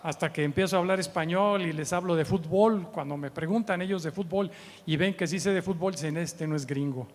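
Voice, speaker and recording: Guest preacher: Mexican man, early 70s, fairly high voice, chatty and humorous teaching, long hall reverb. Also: a little fast. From Mexico City, Mexico. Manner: conversational, humorous, often seeks agreement with short tag questions. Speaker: guest preacher and missions trainer. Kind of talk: informal church teaching with anecdotes. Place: church meeting hall.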